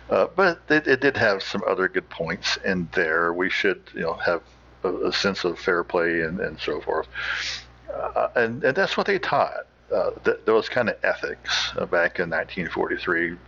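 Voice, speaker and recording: A man hosting a show with casual, unscripted commentary, halting as he thinks aloud. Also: low voice, a bit bright, breathy edge, highs cut off. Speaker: podcast host.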